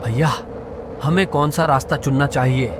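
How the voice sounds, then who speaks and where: A man's voice: mid-range voice, steady tone, moderate pace, natural voice. A man voicing eager, curious village children in a moral story. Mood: worried. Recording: clearly noisy background.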